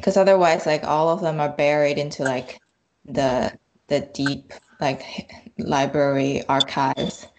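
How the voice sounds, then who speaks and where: Woman with a lowish voice chatting haltingly, says like a lot, noisy call with clicks. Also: fairly even intonation, darkish tone. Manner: tentative, halting, frequent "like". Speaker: podcast panel guest. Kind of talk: casual discussion. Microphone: internet call.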